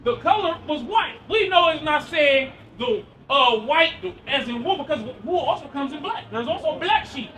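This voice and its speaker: A man preaching loudly outdoors on a city street. He quizzes the crowd with questions and then answers them himself. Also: very high-pitched, lively intonation, moderate pace, few pauses. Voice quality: loud and projecting, near yelling. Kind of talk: loud open-air street preaching. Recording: far from the mic with street noise.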